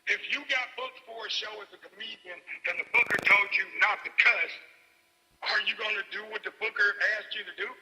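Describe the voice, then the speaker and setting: A man's voice: high voice, fairly even intonation, fairly quick, pushed and bright, long reverb tail, poor audio. A man calling in as a comedy booker, speaking firmly and insistently as he lays down his rules. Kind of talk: phone-in argument.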